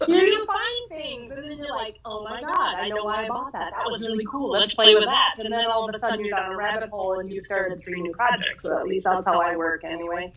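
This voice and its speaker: A middle-aged woman guest, a quilter, chatting casually on a video call from her home studio, a little stuffy and congested, lively and full of quick asides. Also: medium pitch, a crowd in the background. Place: sunny home studio, indoors. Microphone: wireless earbuds on a video call. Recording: dull lo-fi video call audio.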